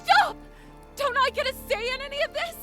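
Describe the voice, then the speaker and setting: Actress as very high-voiced, earnest dream maiden. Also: female voice, moderate pace, sharp tone, faint music behind. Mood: desperate pleading. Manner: earnest, imploring. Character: gentle, pleading young dream maiden. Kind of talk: audio drama acting.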